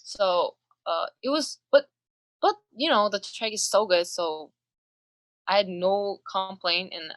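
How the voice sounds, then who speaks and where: A young woman with a Korean accent, a singer speaking English as a second language in a casual video-call interview, pausing and restarting as she searches for words. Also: medium pitch, no echo. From South Korea. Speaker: singer and songwriter guest.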